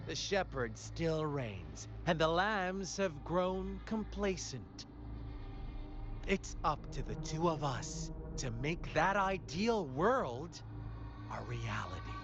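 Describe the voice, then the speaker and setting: A man playing a sinister, charming video game villain who tells a dark fable in a teasing, insinuating, theatrical way. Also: fairly high pitch, sing-song, slow, full character voice. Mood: taunting, persuasive. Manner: insinuating, teasing, dramatic storytelling with rhetorical questions. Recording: slightly dull audio under prominent music. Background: prominent background music.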